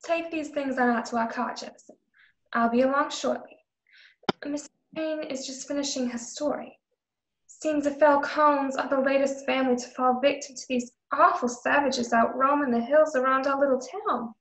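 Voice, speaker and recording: A woman's voice: high voice, steady tone, normal speed, a bit bright. A woman playing a haughty, condescending widow who runs a frontier business, in a radio drama. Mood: commanding. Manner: condescending, imperious. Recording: roomy, echoing home recording.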